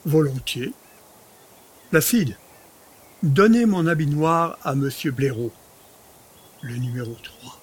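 A man acting as a wry, polished, well-to-do gentleman in a French audio drama. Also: a little high, expressive, slow, pauses often, slightly rough, full character voice. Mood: obliging.

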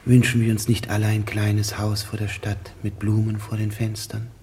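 An actor playing a wistful, self-pitying gangster with an earnest, plaintive manner in an old radio play. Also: male voice, on the low side, completely flat delivery, moderate pace, a little mellow, close to an everyday voice. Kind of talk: 1950s radio comedy-drama acting. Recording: old radio recording with noise.

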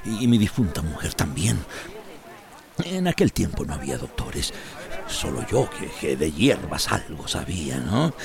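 Crazy old Central American uncle, slow gravelly voice, self-pitying, halting, radio drama, bustle behind. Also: male voice, a bass voice, big pitch swings, a crowd in the background, heavily acted. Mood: shaky, pleading. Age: older. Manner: rambling, halting, repeating himself.

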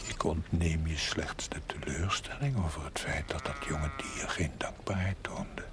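Deep, slow, stern pious mentor. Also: male voice, fairly even intonation, in a whisper. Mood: stern, lecturing. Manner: measured, didactic, formal. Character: stern, philosophising male mentor, gravely pious. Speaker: actor in a television drama series. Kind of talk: 1970s Dutch television drama acting. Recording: 1970s TV soundtrack with loud noise.

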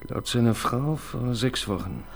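An actor playing an eager, pedantic police assistant in a radio crime drama, prone to hesitant restarts. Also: male voice, mid-range voice, lively intonation, measured pace, gravelly, soft background music.

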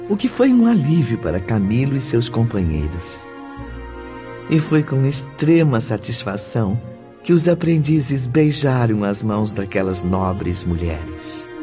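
A man narrating a radio drama in a literary, expressive, scripted manner. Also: on the low side, measured pace. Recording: music behind the voice.

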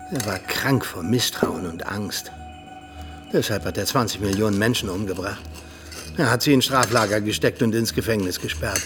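An actor playing an embittered, world-weary older Soviet ex-agent and mentor in a radio drama, speaking emphatically. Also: male voice, on the low side. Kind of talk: radio drama acting, monologue-like confession.

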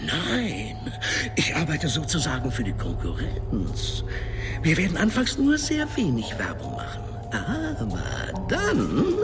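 A slyly insistent businessman in a radio drama. His pitch swoops wildly as he flatters and pushes with oily politeness, pausing for effect over clear background music. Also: exaggerated voice. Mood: pushy, sly. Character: smooth, slyly insistent male businessman making a shady deal. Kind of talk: German radio drama acting. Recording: music behind.

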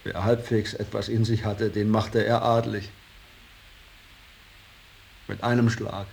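An actor playing an embittered, idealistic painter in a radio drama, speaking solemnly and rhapsodically, moving between hushed reverence and outbursts. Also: male voice, fairly low pitch, level tone, a little slow, creaky, slight background noise. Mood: reverent, nostalgic. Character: Lachmann, embittered, idealistic male painter revering his old master. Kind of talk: 1980s German radio drama acting.

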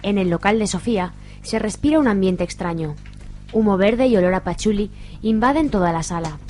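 A woman reads scripted narration for a radio soap. Her voice sits at an average pitch, with faint music underneath. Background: soft music.

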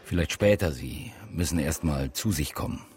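Low-voiced, terse police investigator. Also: male voice, normal speed, a little mellow. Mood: reserved. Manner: terse, matter-of-fact. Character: sober, probing male police investigator. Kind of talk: naturalistic radio drama acting.

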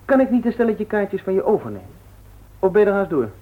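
High-voiced cheeky young student, tinny radio. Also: male voice, very animated, fast talker. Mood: curious, eager. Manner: cheeky, jocular. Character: cocky, playful student son, eager and curious. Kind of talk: 1960s Dutch radio play acting. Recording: narrow, tinny 1960s radio recording.

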